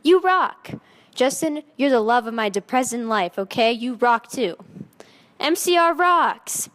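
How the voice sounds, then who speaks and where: A woman's voice: on the high side, expressive, unhurried, fairly bright tone. A woman voicing a haughty, melodramatic seventeen-year-old goth vampire girl in a dramatic fan fiction reading, with emphatic teenage delivery. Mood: bratty, emphatic. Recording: echoey hall with long reverb.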